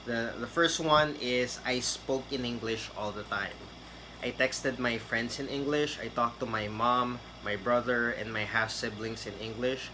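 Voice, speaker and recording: A man's voice: mid-range voice, steady tone, measured pace, noisy background. A man, giving a casual, rambling tutorial on getting hired in a call center. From Philippines. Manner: chatty, rambling with fillers and restarts. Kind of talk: casual tutorial talk to camera.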